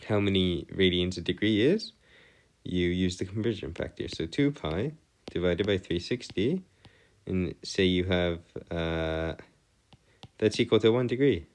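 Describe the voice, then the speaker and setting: A man's voice: low voice, measured pace. A man giving an informal step-by-step lesson as a tutor, with formal, wordy phrasing and hesitant self-corrections. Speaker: math tutor. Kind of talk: informal lecture, explaining step by step.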